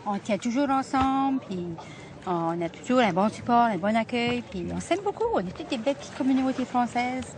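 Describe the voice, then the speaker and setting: A woman's voice: on the high side, expressive, fairly quick, a little mellow. Proud Chiac-speaking Acadian woman. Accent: Acadian French (Chiac). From New Brunswick, Canada. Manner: eager, proud, short replies. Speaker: local interviewee. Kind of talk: casual street interview. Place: outdoor festival. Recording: outdoor crowd noise behind.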